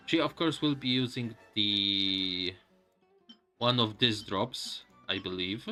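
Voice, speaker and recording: A man's voice: on the low side, sharp tone. A man giving casual live commentary on a game, musing aloud to himself as he plays, with game music behind him. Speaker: game streamer.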